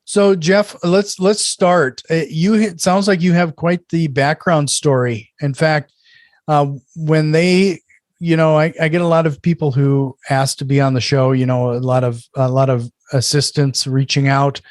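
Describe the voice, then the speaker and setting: A male podcast host introduces his guest in a friendly, casual way, often tripping over and restarting his words. His voice sits a little high. The recording is dry and close, with no room sound. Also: steady tone, unhurried, no room sound. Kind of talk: casual interview.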